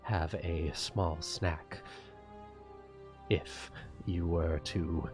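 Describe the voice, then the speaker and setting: A man voicing a formal, measured guide in a tabletop game, speaking in halting, deliberate phrases. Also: low-pitched, steady tone, a little mellow, soft background music, heavily acted. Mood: measured, wry.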